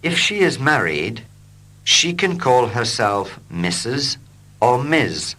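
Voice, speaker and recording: A man reading short English dialogues slowly and clearly for learners, line by line. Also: mid-range voice. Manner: slow, clear and expressive. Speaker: English teacher. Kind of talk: slow scripted reading for learners.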